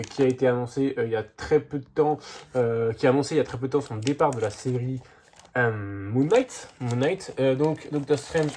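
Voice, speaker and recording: A man's voice: medium pitch, mellow, a little hiss or hum. A man with a head cold and a slightly sore throat, talking through a casual comics haul video with lots of hesitant fillers. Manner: hesitant, many "euh" fillers and restarts. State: congested, slightly hoarse from a cold. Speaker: comics vlogger.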